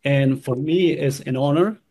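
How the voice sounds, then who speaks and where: A man from Guatemala telling his own story in an earnest, halting way, often restarting his sentences. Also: on the high side, mellow. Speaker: convert sharing his story. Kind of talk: personal testimony.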